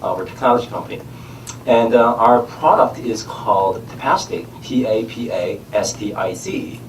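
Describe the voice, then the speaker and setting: A middle-aged man with a Korean accent, his voice on the low side, talks haltingly and leans on fillers through a head cold. He sounds far from the mic in an echoey room. A faint hiss sits behind him. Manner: halting, many "you know" fillers. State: has a cold, tongue numbed by lozenge. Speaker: startup founder. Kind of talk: casual onstage chat. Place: conference stage in a large room.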